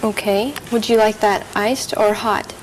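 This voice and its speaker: A woman reading a server's part in a scripted practice dialogue, polite and clearly spoken. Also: medium pitch, fairly even intonation, a little slow, a little hiss or hum, rough recording. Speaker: dialogue reader playing a server.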